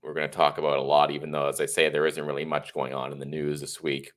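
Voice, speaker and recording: A man's voice: rumbling low, completely flat delivery, fast, fairly bright tone. A man in his thirties hosting a casual political livestream, congested and a bit tired, talking in a chatty, rambling, opinionated way. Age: 30s. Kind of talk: casual solo livestream monologue.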